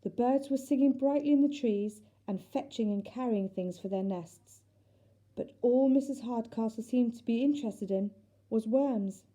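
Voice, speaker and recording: Woman, children's story narration, very mellow tone, slightly high pitch, expressive, deliberate. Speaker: teacher reading aloud.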